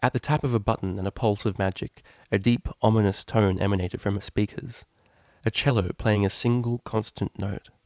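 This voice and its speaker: A man's voice: fairly low pitch, steady tone, moderate pace, a little mellow, no room sound, clean studio sound. A man reading a story aloud as narrator, in scripted prose. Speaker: narrator, fan fiction reader.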